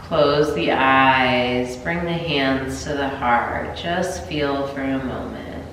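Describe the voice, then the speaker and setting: A yoga teacher with a stuffy sinus cold talks her class through breathing and stretches in a very low, slow voice. She clears her throat now and then. She sits some way from the camera, and the room gives a strong, long echo. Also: far from the mic, slight background noise. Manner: calm, gentle cues with throat clearing. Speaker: yoga instructor. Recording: roomy echo, voice from afar.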